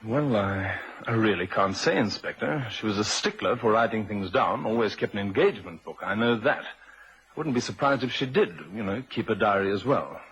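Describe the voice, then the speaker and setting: A shaken husband under questioning acted by a man in an old radio play. His voice is fairly low, halting and defensive, heard through a muffled recording with faint hiss. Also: fairly bright tone, lightly acted. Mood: defensive, evasive. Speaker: actor. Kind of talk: vintage radio drama acting.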